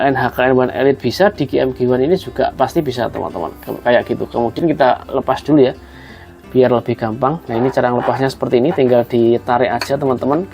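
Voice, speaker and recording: A man's voice: medium pitch, darkish tone. A man explains a hands-on job step by step in a casual, conversational way, addressing viewers as friends. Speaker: host of a tutorial video.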